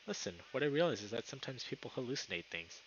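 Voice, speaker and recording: A man's voice: medium pitch, sing-song, fast talker, dull audio, a little hiss or hum, close to an everyday voice. A man playing a stubborn, casual young male animal character in a visual novel. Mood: insistent.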